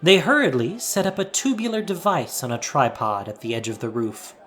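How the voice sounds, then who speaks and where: Man narrating with very lively pitch. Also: normal speed. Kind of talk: dramatic scripted story narration.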